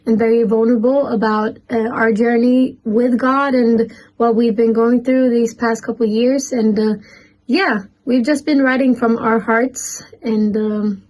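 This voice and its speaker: A woman's voice: on the high side, steady tone, a little slow, a little mellow, low-quality recording. A woman from Sweden with a Swedish accent, a musician talking eagerly in an interview. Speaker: musician guest.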